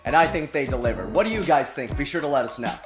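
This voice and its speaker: A man's voice: mid-range voice, lively intonation, fast talker, over loud music. A man in his twenties delivering sports broadcast narration and commentary as a reporter and announcer. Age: 20s.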